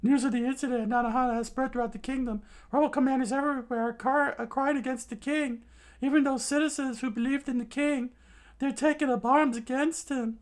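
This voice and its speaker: A terrified young messenger, done by a man in a high, strained voice. He blurts his report in a breathless rush, each word pushed up near a squeak. It sounds like a storybook retelling. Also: fairly even intonation. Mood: panicked. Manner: breathless, high-pitched and hurried. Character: panicked young male palace messenger.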